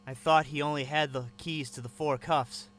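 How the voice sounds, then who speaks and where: A man's voice: on the high side, steady tone, moderate pace, a bit bright, a little hiss or hum. A man narrating in first person as a captive, with a tense, wary inner voice.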